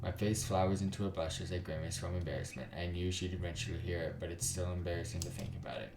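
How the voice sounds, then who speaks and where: Low-voiced man reading aloud, roomy echo. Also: fairly even intonation, fast. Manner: dramatic reading, switches into character voices. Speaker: gaming YouTuber. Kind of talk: visual novel read-aloud with commentary. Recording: roomy echo over guitar music. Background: guitar music.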